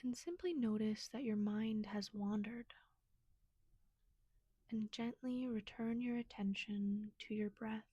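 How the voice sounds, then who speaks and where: Woman, higher-pitched voice, very slow pace, gentle soothing meditation guide, breathy delivery. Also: dry recording, muffled recording.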